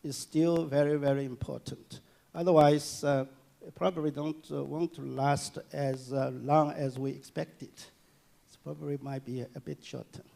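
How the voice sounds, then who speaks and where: A man giving a public lecture and answering audience questions, speaking haltingly with many fillers and restarts. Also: fairly high pitch, little pitch movement, gravelly, dark tone. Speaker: guest lecturer. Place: lecture hall.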